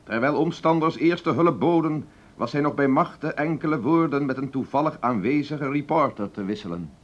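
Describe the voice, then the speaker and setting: An actor playing a solemn radio reporter in a drama, giving grave, measured, formal narration. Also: male voice, fairly high pitch, lively intonation. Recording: dull old radio recording.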